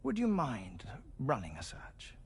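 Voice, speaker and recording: An actor playing a fussy middle-aged scientist in a video game, erudite and gently theatrical, with formal, deliberate, wordy diction. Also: male voice, medium pitch, huge pitch swings, husky, heavily acted. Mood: grave, thoughtful. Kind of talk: scripted video game cutscene exposition. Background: music.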